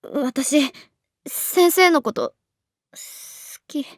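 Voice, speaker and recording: Halting, earnest teenage schoolgirl. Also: high voice, expressive, no room sound, clean studio sound, full character voice. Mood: earnest, overwhelmed. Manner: hesitant, halting, emotionally raw. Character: teenage schoolgirl runaway, earnest, vulnerable, awkwardly sincere. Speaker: voice actress. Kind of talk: Japanese anime-style audio drama acting.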